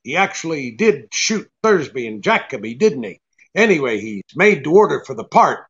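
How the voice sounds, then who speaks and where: An actor playing a tough, shrewd private detective in a radio drama, brisk and commanding. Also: male voice, fairly high pitch, big pitch swings, a bit bright, highs cut off. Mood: insistent. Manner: brisk, hard-boiled, commanding. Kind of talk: old-time radio drama acting.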